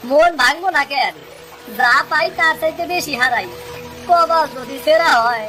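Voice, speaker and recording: Woman as young cartoon fisherman. High, plaintive, complaining; rural Bengali dialect, light music behind. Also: normal speed, sharp tone, low-quality recording. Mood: dejected. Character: frustrated, despairing younger brother, poor village fisherman. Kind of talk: cartoon story acting.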